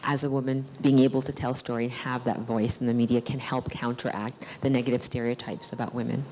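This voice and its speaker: Female panel host, strikingly low pitch, question-led delivery, clear noise and clicks on the stage recording. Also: flat delivery, quick pace. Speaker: panel moderator. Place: stage of a cinema auditorium. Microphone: handheld microphone.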